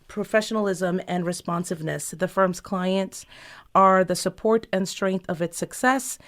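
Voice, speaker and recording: A woman hosting a radio show, reading a formal introduction in a measured way. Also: fairly low pitch. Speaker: radio host.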